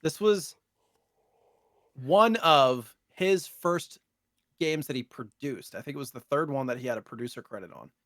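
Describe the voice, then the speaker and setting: A man in his thirties chats casually as a podcast co-host. His voice sits at a medium pitch in a very dry recording, and he feels his way through sentences, often tacking on asides. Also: normal speed, a bit bright, no echo. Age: 30s. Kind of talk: casual retro gaming chat.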